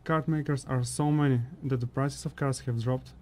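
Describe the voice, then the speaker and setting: A man's voice: mid-range voice, fairly even intonation, normal speed, dark tone, natural voice. A man playing a grumpy, cynical mixed-breed fantasy creature who grumbles his opinions in an audio play. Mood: disapproving.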